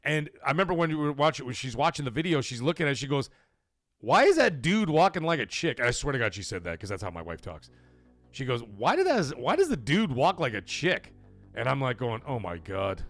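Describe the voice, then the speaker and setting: A man's voice: mid-range voice, sing-song, brisk, fairly bright tone, dry recording, faint music behind. A man hosting a gaming livestream, rambling casually and answering viewers from the chat by name. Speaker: livestream gaming show host.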